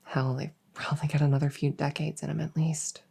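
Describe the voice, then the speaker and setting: Woman doing a young princess in an audio roleplay, lowish mellow voice, kinda halting, tired of duty and wistful. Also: flat delivery, gravelly, slightly rough, exaggerated voice. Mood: hesitant, wry. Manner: hesitant, halting, conversational. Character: young human princess, weary, dutiful, wistfully longing for freedom. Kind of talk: intimate audio roleplay acting.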